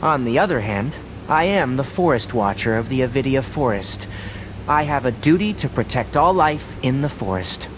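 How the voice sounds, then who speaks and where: A man voicing an earnest, reserved young forest watcher in a video game, speaking in a measured, articulate, matter-of-fact way. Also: mid-range voice, background noise, natural voice. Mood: earnest, reflective. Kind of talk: scripted video game voice acting. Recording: game audio with music behind.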